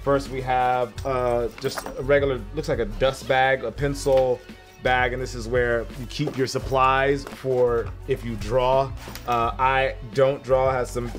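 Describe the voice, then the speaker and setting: A man's voice: medium pitch, steady tone, unhurried, soft background music. Upbeat man with a cold. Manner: upbeat, rambling, talks to viewers directly. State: has a cold, sounds a bit stuffy. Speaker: sneaker unboxing vlogger. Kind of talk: casual unboxing vlog.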